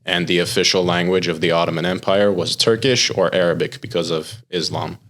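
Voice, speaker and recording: A young American man, a chef, talking casually in a podcast interview and explaining things in a relaxed way, with lots of filler words. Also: sits low, fairly quick. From United States. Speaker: chef and pitmaster, podcast guest.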